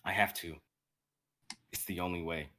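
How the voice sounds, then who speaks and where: A calm young warrior, played by a man, speaks in a lowish voice. He is resolute and self-sacrificing, the steady hero of a fantasy drama. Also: normal speed. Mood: resolute, tender. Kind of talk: dramatic script reading.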